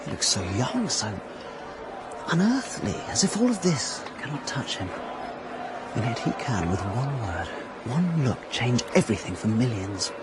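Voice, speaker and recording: An actor playing an earnest, proud young Russian officer in a radio drama, speaking with hesitant fervour. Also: male voice, medium pitch, very animated, unhurried, over loud music. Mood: rapt, hopeful. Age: young adult. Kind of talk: radio drama acting with inner monologue. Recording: bustling outdoor crowd noise behind.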